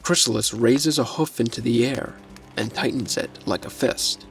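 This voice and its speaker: Male story narrator over clear music. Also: mid-range voice, normal speed, low-quality recording.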